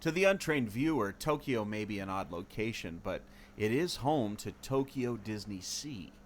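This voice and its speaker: A man's voice: fairly low pitch, animated, normal speed, close to an everyday voice. A man acting an earnest, theatrical explorer, noisy backdrop. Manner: expository, theatrical. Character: earnest male explorer, knowledgeable enthusiast of secret lore. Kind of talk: scripted audio adventure acting.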